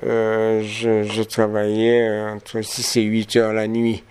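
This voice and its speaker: A man's voice: lowish voice, monotone, takes it slowly, darkish tone, clean tone. A man in his seventies from Martinique, a photographer answering interview questions with many hesitations and restarts. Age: 70s. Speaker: photographer guest.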